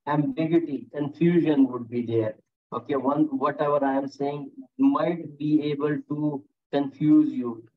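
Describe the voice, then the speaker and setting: A male safety instructor lectures his class over a video call and keeps things encouraging, echoing his students' answers back to them. His voice sits a little high for a man. The audio is thin and tinny, like a phone line. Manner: encouraging, repeats students' answers, frequent "okay" and "very nice". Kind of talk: online lecture with class questions. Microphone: computer mic on a video call.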